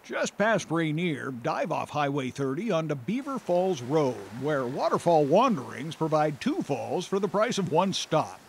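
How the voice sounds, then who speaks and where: Middle-aged guy hosting an outdoors travel show, folksy and upbeat, little bit of fry, faint noise behind. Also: a little high, sing-song, measured pace, hardly any pauses. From Oregon. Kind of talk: scripted travel narration. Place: outdoors in woods and by a roadside.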